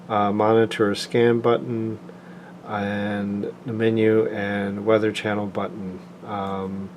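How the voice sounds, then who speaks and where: A man giving an informal, unscripted hands-on product review, explaining things plainly and haltingly. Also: on the low side, darkish tone, a little hiss or hum.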